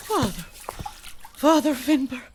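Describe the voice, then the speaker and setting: An actress playing a devout Irish parishioner, out of breath, tearful and overexcited, in an audio drama. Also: female voice, high voice, lively intonation, a little mellow, a little hiss or hum. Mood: breathless excitement. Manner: gasping, halting, overwrought. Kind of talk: heightened audio drama acting.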